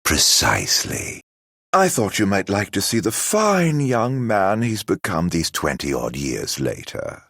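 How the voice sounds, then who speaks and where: A man voicing a mocking, silver-tongued video game villain, with a raspy, drawling, flamboyant delivery and a show of courtesy over cruelty. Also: deep voice, huge pitch swings, slow pace, a bit bright, no room sound, studio quality, heavily acted. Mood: smooth menace. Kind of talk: theatrical video game voice acting.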